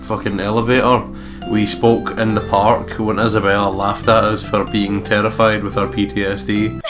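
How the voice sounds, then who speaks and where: A man with a low voice co-hosts a horror game playthrough. He reads story narration aloud and chats in a joking, sweary way. Guitar music plays clearly underneath. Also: level tone, normal speed. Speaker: let's play co-host and narrator. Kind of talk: casual banter and read-aloud visual novel narration.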